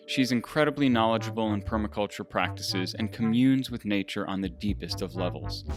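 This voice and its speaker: A man hosting a podcast, reading a scripted sponsor message in a presenting style. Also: on the low side. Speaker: podcast host.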